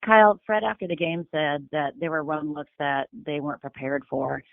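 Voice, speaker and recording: A man's voice: fairly high pitch, steady tone, normal speed, vocal fry, no echo. A man working as a sports reporter, asking a question on a press conference call. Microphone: phone on conference call. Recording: narrow dry phone line.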